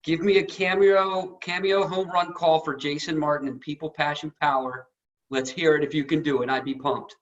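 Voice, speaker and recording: Male show host, higher-than-average pitch, effusive and halting, casual interview chat, dull lo-fi recording. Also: steady tone. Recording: slightly dull lo-fi audio.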